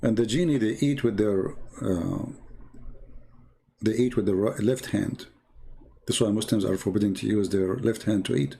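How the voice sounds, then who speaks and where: A man's voice: on the low side, normal speed, gravelly, warm tone, slightly rough. A man who speaks English as a second language, giving an informal explanatory talk in a didactic, emphatic way, repeating his phrases. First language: not English. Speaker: religious commentator, show host. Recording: shortwave radio talk.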